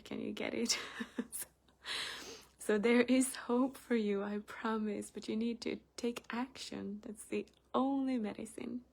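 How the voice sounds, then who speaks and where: Finnish lady vlogging, bit of vocal fry, lots of "like" and "um", chatty and open. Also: mid-range voice. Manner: chatty, frequent fillers, animated when retelling. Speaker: spiritual channel host. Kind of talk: casual personal vlog.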